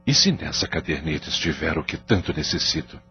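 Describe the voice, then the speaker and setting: A man acts as an anguished middle-aged father in a radio play. His voice is low and heavy with worry and guilt. The recording is dull, with music behind him. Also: expressive, fairly quick, bright, forceful tone, heavily acted. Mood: anxious scheming. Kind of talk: audio drama acting. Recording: muffled audio drama recording with music.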